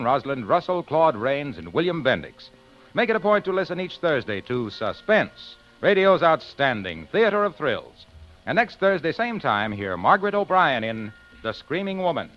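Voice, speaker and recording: An American man with a fairly high, very animated voice pitches like a 1940s radio announcer. His emphatic, folksy sales patter comes through a dull vintage recording.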